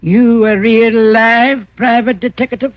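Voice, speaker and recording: An actor playing a suspicious old backwoods hermit in a radio drama, with a cracked voice and a folksy rural American drawl. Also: male voice, very high-pitched, fairly even intonation, takes it slowly. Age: older. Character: suspicious old backwoods hermit with a shotgun, detective-magazine fan. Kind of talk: 1940s-50s radio acting. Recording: muffled old radio recording, echoey.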